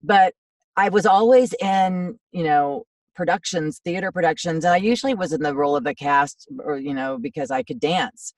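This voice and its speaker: A woman in her fifties with a low, raspy voice, chatting in a rambling, self-deprecating way as a voiceover actor guest in a podcast interview. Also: lively intonation, moderate pace, dry recording, distant mic. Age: 50s.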